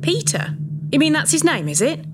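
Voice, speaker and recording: A woman's voice: high-pitched, sing-song, normal speed. An actress with a British accent playing a nosy, disapproving woman in an audio drama, inquisitive and scolding. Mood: suspicious, disapproving.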